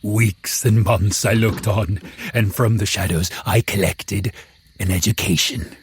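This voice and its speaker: An actor playing a lonely, eloquent man-made creature, telling his tale in a solemn, brooding audio drama voice with a gravelly, husky edge. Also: lowish voice, steady tone, unhurried, no room sound. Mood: wistful. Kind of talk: dramatic first-person audio drama narration.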